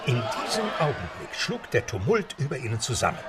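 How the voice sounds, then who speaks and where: A man narrating a radio play with measured storytelling. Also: mid-range voice, wildly swooping pitch, a loud crowd. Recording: music beneath narration.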